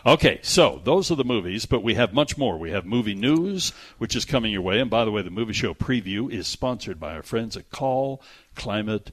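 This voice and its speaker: A man's voice: fairly low pitch, lively intonation, normal speed. An American man hosting a radio show about movies, chatting in a casual, jokey and rambling way. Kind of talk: casual movie review chat.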